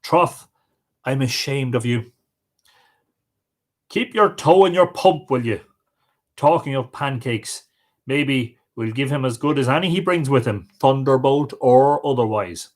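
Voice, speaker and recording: A man voicing a shrewd, bossy giant's wife from a folk tale, with a brisk, assured manner. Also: mid-range voice, lightly acted. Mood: bossy, scolding.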